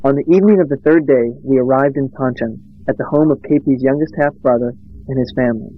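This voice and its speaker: A man narrating a documentary in measured, plain storytelling. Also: medium pitch. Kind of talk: scripted narration. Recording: dull lo-fi recording.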